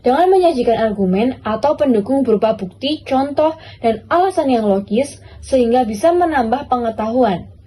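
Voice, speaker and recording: A young Indonesian woman reads a formal explainer for a student podcast. Her voice is soft-edged and fairly high, with an Indonesian accent. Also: expressive, moderate pace, husky. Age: teenager or young adult. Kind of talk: scripted, formal explanatory reading.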